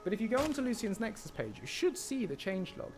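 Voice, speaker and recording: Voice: mid-range voice, sing-song, quick pace, darkish tone. A person live streaming, chatting casually and correcting themselves as they explain things, with game music behind. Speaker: live streamer, mod creator. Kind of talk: casual livestream commentary.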